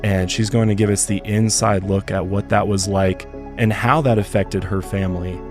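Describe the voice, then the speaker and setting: Homem rouco, grave, com música de fundo. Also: male voice, lowish voice, fairly even intonation, normal speed, over music. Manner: chatty, rambling with restarts. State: hoarse from illness. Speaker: podcast host. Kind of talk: podcast interview.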